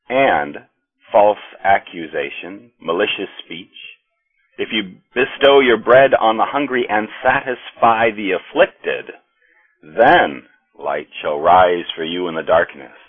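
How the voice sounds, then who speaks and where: An American man preaches a sermon, his low voice a little husky. He speaks slowly and deliberately, pausing between phrases. The sound is thin and tinny, like a phone line. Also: expressive. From United States. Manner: slow, measured preaching with pauses.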